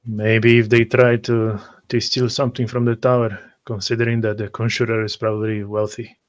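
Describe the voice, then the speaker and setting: Male tabletop player, even-toned, hesitant, word-repeating, narrating his character's moves. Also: fairly low pitch, moderate pace. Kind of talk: in-character roleplay, first-person action narration.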